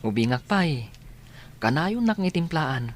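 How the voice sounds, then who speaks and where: An actor playing a polite, affectionate young grandson with an Ilocano accent in a radio drama. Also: male voice, on the high side, sing-song, unhurried.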